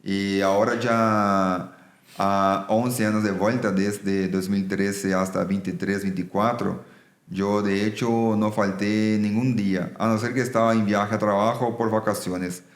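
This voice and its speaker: Male guest, Brazilian accent, Portuguese native, lowish voice, explains earnestly in conversation, roomy sound. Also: flat delivery, a little slow. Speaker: business executive, podcast guest. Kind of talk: conversational interview. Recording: roomy echo.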